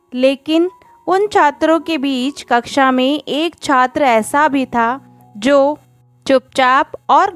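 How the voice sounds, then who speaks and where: Female narrator for moral tales, higher voice, slow deliberate phrasing, faint music underneath. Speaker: storyteller, channel narrator. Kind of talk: scripted moral-story narration.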